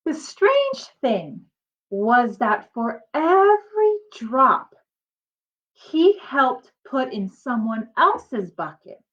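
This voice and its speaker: A high-pitched woman reads a picture book aloud like a teacher talking to small children. Her delivery is slow and swings widely, with drawn-out emphasis and explanatory asides. The sound is slightly dull and lo-fi. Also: sing-song, fairly bright tone. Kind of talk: expressive children's storybook read-aloud with asides.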